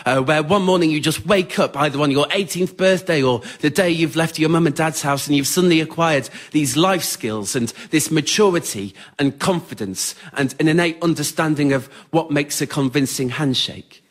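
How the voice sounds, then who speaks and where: A young man with an English accent performs scripted autobiographical stories on stage in a wry, understated, measured way. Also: on the high side. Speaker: writer-performer of a solo spoken-word show. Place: radio theatre in front of a live audience.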